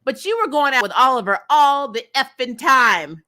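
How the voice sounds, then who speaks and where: A woman hosting a casual, opinionated TV recap, talking in an animated, exasperated way with swearing and frequent laughter. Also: high-pitched, normal speed. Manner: acts out imagined dialogue. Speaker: host of a TV recap show. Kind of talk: casual opinionated review commentary.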